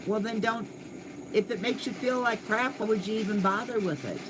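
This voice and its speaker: A woman's voice: medium pitch, normal speed, background noise. An older woman vlogging in a casual, rambling and goofy way, chatting to viewers. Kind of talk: casual livestream chat.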